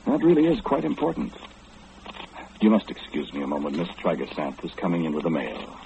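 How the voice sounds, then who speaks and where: An actor in an old-time radio play, playing a formal, fussy gentleman who calmly knows everything and speaks with matter-of-fact precision. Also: deep voice, a little fast, creaky, full character voice. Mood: polite, composed. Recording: dull, noisy old radio recording.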